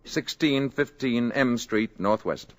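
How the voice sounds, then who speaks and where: An actor playing a courteous male space visitor posing as an ordinary man, soft-spoken and assured, in 1950s radio drama style. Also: mid-range voice, slow. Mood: calm.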